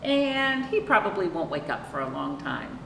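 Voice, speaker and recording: Middle-aged woman, children's librarian read-aloud: expressive and swooping, chatty asides, picked up by a far mic in an echoey room. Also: lowish voice. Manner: expressive, drawn-out emphasis and questions to young listeners. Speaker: children's librarian hosting storytime. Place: small indoor room, seated on a couch. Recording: roomy, distant mic with clear background noise.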